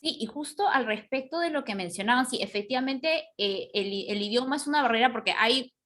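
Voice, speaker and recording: A woman's voice: a little high, expressive, brisk, fairly bright tone. A woman moderating a casual panel discussion, forming her questions tentatively and repeating words as she goes.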